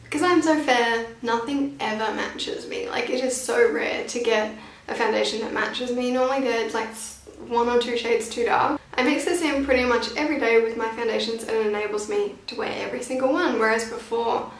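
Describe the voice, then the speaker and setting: A woman's voice: on the high side, fairly quick. A woman in her twenties chatting casually and informally to camera as she reviews beauty products, with a self-deprecating manner. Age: 20s. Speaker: beauty vlogger. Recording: roomy echo, distant from the mic.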